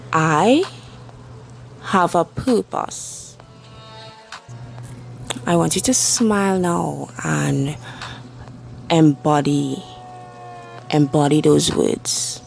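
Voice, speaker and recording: A woman leading a guided meditation with spoken affirmations, soothing and deliberate, leaving long pauses between short phrases. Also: sits low, creaky, rough recording. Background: soft background music.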